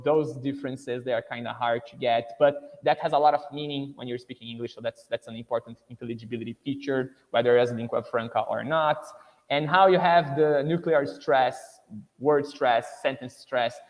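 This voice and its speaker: A man's voice: mid-range voice, fairly even intonation, moderate pace, big-room sound, rough recording. A man from Brazil with a Brazilian Portuguese accent, an English teacher giving a conversational webinar lecture full of "you know" and "right".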